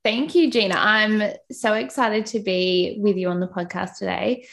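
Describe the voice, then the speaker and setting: A young Australian woman talking as a podcast guest, with a slightly gravelly edge to her voice. She chats loosely and self-deprecatingly, filling pauses with ums and uhs. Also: mid-range voice, fairly even intonation, a bit bright. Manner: chatty, self-deprecating, many fillers. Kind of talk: casual interview chat.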